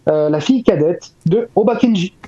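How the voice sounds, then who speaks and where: A man's voice: high-pitched, animated, normal speed, warm tone, slight background noise. A man casually relaying news as a show panelist, on dull lo-fi audio.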